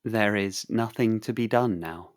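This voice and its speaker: Male meditation guide, calm and soothing, low register, very slow with long pauses.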